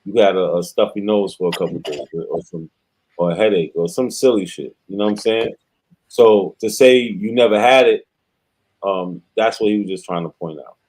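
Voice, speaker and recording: A man co-hosting a stream discussion, calmly explaining a point in casual slang as mediator. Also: low-pitched, moderate pace, low-quality recording. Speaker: co-host acting as mediator.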